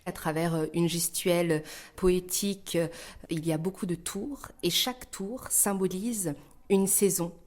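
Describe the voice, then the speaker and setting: Low-voiced woman giving reflective answers. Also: steady tone, unhurried, a little mellow. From northern Iran. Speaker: interview guest, dancer and choreographer.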